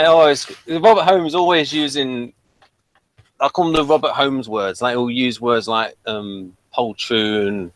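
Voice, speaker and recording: A man reviewing in casual, unscripted talk, explaining things in a halting way. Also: medium pitch, a bit bright, dry recording. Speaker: TV episode reviewer.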